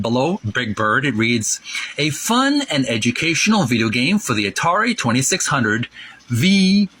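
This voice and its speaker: A man hosting informal video commentary, halting as he goes and drawing out key words for emphasis. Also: medium pitch, fairly bright tone, breathy edge, low-quality recording. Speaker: host of a retro gaming channel. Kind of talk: informal video commentary with read-aloud passages.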